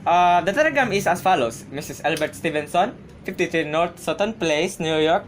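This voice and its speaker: A businesslike telegram clerk acted by a woman with a rather low voice, in an amateur radio play. The delivery is courteous and slightly hesitant, over faint noise. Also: expressive, normal speed, close to an everyday voice. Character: polite, businesslike telegram clerk reading messages. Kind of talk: amateur radio drama acting.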